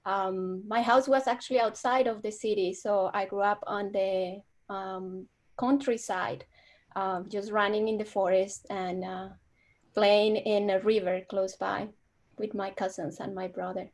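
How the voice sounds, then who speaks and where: A woman from Colombia with a Colombian accent and Spanish as her first language, answering interview questions as a guest scientist over a video call, warm and reflective, with ums and small slips. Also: mid-range voice, fairly even intonation, measured pace.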